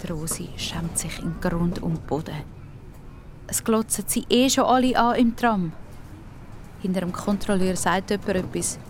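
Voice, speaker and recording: A woman's voice: on the low side, a little slow, slight background noise. A woman with a Swiss German accent narrating a scripted audio story for children.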